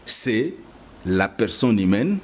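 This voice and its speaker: A man's voice: lowish voice, big pitch swings, takes it slowly, a little mellow. A man with a Congolese accent answering as a political candidate in an interview, assertive and emphatic. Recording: clear background noise.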